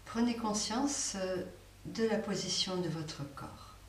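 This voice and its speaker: A woman teaching a guided yoga nidra relaxation, speaking softly with long pauses. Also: medium pitch. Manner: slow, soft, pausing guidance. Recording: roomy, distant mic with faint hiss.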